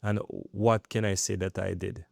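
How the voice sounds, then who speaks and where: A man's voice: sits low, flat delivery, a little slow, vocal fry, darkish tone, dry recording, studio quality. A man in his thirties talking earnestly in a rambling vlog, often checking in with "right?". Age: 30s. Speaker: YouTube creator. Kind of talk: casual talking-head vlog.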